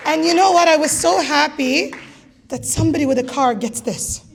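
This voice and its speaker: A woman emceeing a gala and calling raffle numbers, with a raspy voice and a playful, teasing manner. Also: high-pitched, moderate pace, a faint crowd, slight background noise. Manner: playful, teasing, jokey asides. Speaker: event host calling raffle draws. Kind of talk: live emcee banter at a gala.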